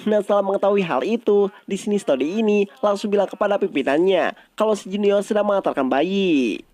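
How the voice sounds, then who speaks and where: High-pitched Indonesian man narrating casually. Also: a little fast, a little mellow. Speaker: film recap narrator.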